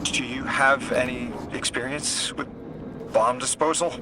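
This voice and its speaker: A man acting as a wry, worried man in a video game, conversational and a little hesitant. His voice sits at an average pitch, with clear ambient noise and vehicle rumble behind it. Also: fairly even intonation, a little slow, lightly acted. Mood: grave, conversational. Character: wry, worried adult man named Lodi, game character.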